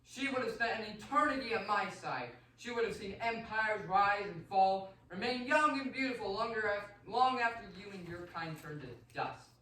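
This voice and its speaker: Guy doing imperious vampire count, theatrical radio play, bright forceful voice, far from mic. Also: high-pitched, lively intonation, normal speed, hardly any pauses. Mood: proud, commanding. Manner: theatrical, commanding, formal. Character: imperious, seductive, vengeful male vampire count. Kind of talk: amateur radio play acting. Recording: roomy, distant, faintly noisy stage recording.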